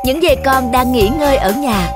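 A woman's voice: high voice, expressive, normal speed. A woman reading scripted narration for a children's story. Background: music.

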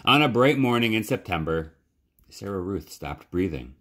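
Very deep-voiced man reading a story. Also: big pitch swings, normal speed, a bit bright. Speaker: library storytime reader. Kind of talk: expressive children's audiobook-style narration.

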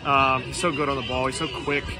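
Low-voiced man, coach after a match. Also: level tone, a little fast, music in the background, rough recording. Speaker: high school soccer coach. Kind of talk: casual post-match interview answers. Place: outdoor stadium trackside.

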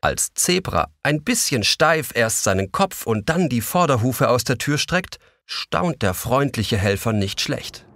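A man's voice: medium pitch, animated, unhurried, hardly any pauses, fairly bright tone, no room sound. A man narrating a scripted children's story as the narrator of an audio drama.

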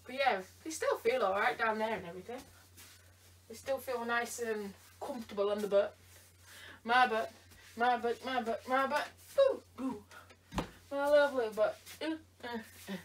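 A woman's voice: on the high side, moderate pace. A tired woman chatting playfully in a try-on vlog, teasing someone off camera. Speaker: vlogger. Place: bedroom indoors. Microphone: camera across the room. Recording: voice from a distance.

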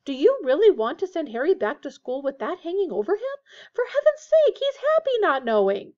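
A woman reading a storybook and voicing a brisk, shrill middle-aged mother of a big family, quick to scold. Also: sing-song, dark tone, dull audio. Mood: anxious, shrill.

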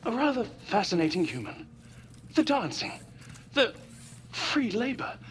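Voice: medium pitch, big pitch swings, a little slow, a little hiss or hum. An actor voicing an earnest, philosophical robot companion in a video game, with formal and precise phrasing. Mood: awed.

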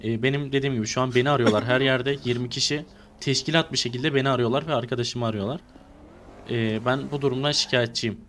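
A man's voice: medium pitch, fairly even intonation, fast talker, fairly bright tone, slight background noise. A man chatting casually in role-play as he streams an online game.

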